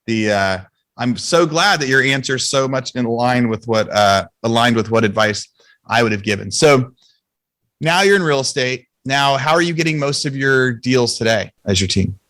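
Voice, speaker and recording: A man's voice: mid-range voice, lively intonation, normal speed, fairly bright tone. A man hosting a podcast, talking in an informal, chatty, conversational way. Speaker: podcast host. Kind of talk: casual chat.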